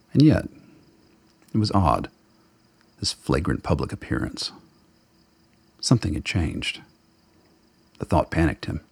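A man narrates a radio drama in a very low voice with plenty of gravel in it. The delivery is brooding and literary, and the sound is close and dry, though the words come out somewhat husky. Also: dry recording. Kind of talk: dramatic scripted narration of fiction.

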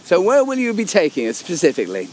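A man co-hosting a walking podcast outdoors in a valley, hoarse from a summer cold, chatty and affirming. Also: sits high, huge pitch swings, fast, a little mellow, slight background noise. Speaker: podcast co-host.

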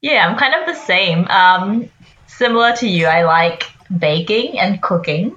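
A woman's voice: mid-range voice, expressive, measured pace, a bit bright. A young woman co-hosting a podcast, chatting casually with giggles and many likes and ums. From Singapore and Australia. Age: young adult. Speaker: podcast co-host, audiologist. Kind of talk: casual chat. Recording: dull lo-fi recording.

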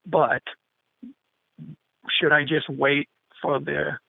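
A man's voice: fairly high pitch, little pitch movement, extremely slow, creaky, dry recording. A man in his fifties calling in to a radio show, hesitant and self-deprecating, with lots of ums. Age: 50s. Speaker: caller. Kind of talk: call-in question to a radio show. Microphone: telephone. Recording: tinny phone line.

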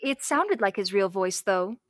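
A woman's voice: mid-range voice, animated, unhurried, no room sound, clean studio sound, lightly acted. A young woman amateur playing a curious, admiring scientist's assistant in an old-time radio serial, inquisitive and wide-eyed. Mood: amazed. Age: young adult.

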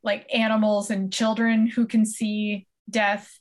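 A woman's voice: medium pitch, flat delivery, slow. A woman co-hosting a casual comedy podcast, talking in a chatty, informal storytelling way. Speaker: podcast co-host.

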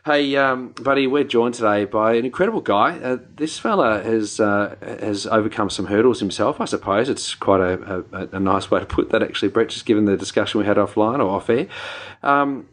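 A man with a New Zealand accent hosting a podcast, chatting in a relaxed, rambling way with many fillers. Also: lowish voice, fairly quick. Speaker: podcast host. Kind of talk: casual podcast chat.

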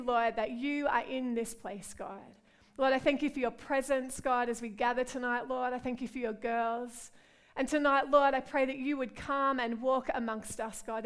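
Australian woman, middle-aged, preacher, earnest prayer, fairly high voice, smooth and clear. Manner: warm, earnest, repeats "God" and "Lord" in prayer. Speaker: pastor. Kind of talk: women's conference sermon and prayer.

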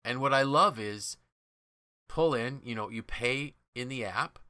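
A man's voice: mid-range voice, a little slow, sharp tone. An American man co-hosting a casual business podcast, explaining things through enthusiastic storytelling. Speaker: podcast co-host.

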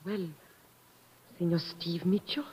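Husky, earnest Latin. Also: female voice, on the low side, fairly even intonation, slow pace, mellow, full character voice. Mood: guarded. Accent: Latin American Spanish. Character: grieving, resolute young Latin American woman. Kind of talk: old-time radio drama acting. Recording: muffled old radio recording, long hall reverb.

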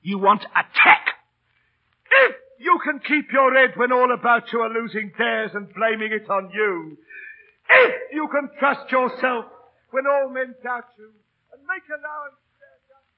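An actor in an old radio drama playing a middle-aged, down-at-heel busker, proud yet beaten and pleading, with colloquial working-class speech and theatrical delivery. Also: male voice, very high voice, expressive, unhurried, heavily acted. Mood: declamatory. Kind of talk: 1930s-40s radio drama acting. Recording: old radio broadcast, phone-like narrow band.

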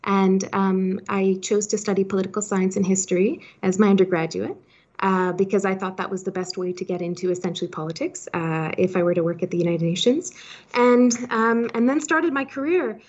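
A woman's voice: medium pitch, a little mellow. Woman in her thirties, chatty, muffled recording. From Switzerland-born, raised in Hong Kong. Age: 30s. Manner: chatty, peppered with "you know". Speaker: podcast guest, startup founder. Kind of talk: conversational interview answers.

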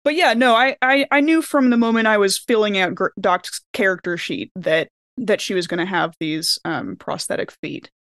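A woman talks casually as a podcast panelist, with an animated storytelling manner. Her pitch sits in the middle for a woman, and the sound is dry and close. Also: moderate pace, hardly any pauses, no room sound. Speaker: podcast panelist and tabletop player. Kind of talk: casual chat.

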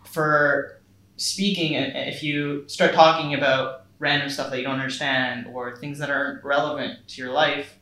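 A man whose first language is Spanish hosts a podcast interview, speaking English in a relaxed, thoughtful way with lots of ums. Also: a little high, normal speed. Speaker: podcast host. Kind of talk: casual interview. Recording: echoey room with faint noise.

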